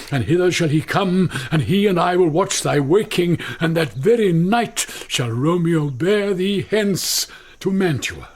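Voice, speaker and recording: A husky, slow-spoken elderly British friar. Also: male voice, fairly high pitch, darkish tone, full character voice. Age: older. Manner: measured, declamatory. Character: grave elderly friar, fatherly and urgent. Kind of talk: classical Shakespearean radio acting.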